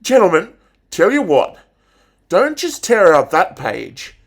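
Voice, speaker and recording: Man playing a slow, impassioned male teacher. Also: high voice, wildly swooping pitch, heavily acted. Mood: fiery, urging. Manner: declamatory, deliberate, with dramatic pauses. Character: passionate, theatrical male English teacher rousing his pupils. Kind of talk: dramatic monologue recitation.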